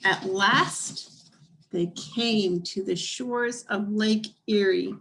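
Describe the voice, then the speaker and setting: A woman reading a children's picture book aloud as scripted narration, in deliberate, measured phrases with dramatic pauses. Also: medium pitch, steady tone, vocal fry, fairly bright tone, a little hiss or hum, rough recording. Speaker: storybook reader.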